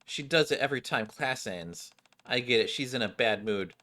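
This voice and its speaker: A gossiping schoolgirl in a video game, read out by a man at mid pitch. She is nosy and casual, full of chatter. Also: expressive, normal speed, sharp tone. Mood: irritated, gossipy.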